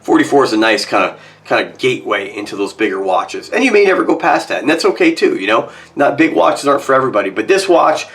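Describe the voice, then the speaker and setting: A North American man in his forties, slightly hoarse from a cold, giving a casual, opinionated product review in a conversational, emphatic way. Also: mid-range voice, very animated, fast, fairly bright tone. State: getting over a cold. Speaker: watch reviewer. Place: small home office, indoors.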